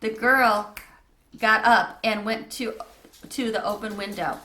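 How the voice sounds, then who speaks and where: Lady reading a kids book out loud, expressive, medium pitch, echoey room. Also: takes it slowly, a little hiss or hum. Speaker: read-aloud storyteller. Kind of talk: children's storybook narration.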